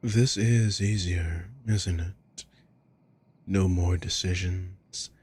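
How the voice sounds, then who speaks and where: A man playing a sadistic, controlling villain in an ASMR roleplay, breathy and husky, speaking with slow, condescending, taunting deliberation. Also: low voice, darkish tone, full character voice. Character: sadistic, controlling male villain toying with a captive.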